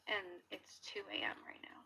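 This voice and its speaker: A woman chatting casually to viewers as a paranormal video host, hesitant and speculative, often dropping into hushed speech. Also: normal speed, in a whisper, low-quality recording. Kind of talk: casual chat to viewers and companion. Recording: faint background noise.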